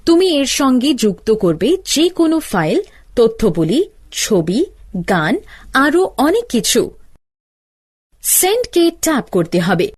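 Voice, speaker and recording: A woman's voice: a little high, very animated, slow pace, pauses often, breathy edge, full character voice. A woman playing an older-sister teacher, giving step-by-step instructions in a scripted cartoon tutorial. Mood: instructive. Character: older-sister teacher explaining gadgets to a child.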